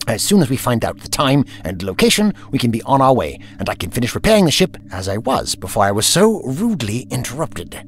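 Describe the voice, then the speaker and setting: An actor playing a haughty, irritable, eccentric time traveller in an audio drama, pompous and condescending. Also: male voice, medium pitch, very animated, a little fast, few pauses, lightly acted. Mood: haughty, dismissive.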